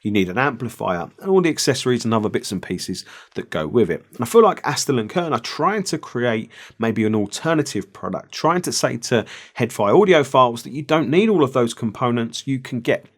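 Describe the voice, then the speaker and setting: A middle-aged man reviewing audio gear in a conversational, measured way, explaining things with personal asides. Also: medium pitch, expressive. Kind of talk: product review to camera.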